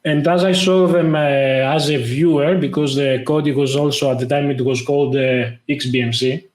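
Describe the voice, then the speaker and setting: Man, halting, in a roomy echo. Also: medium pitch, fairly even intonation, darkish tone. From Greece. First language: Greek. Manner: halting, lots of "uh" fillers. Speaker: guest, tech YouTuber. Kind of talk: casual interview talk.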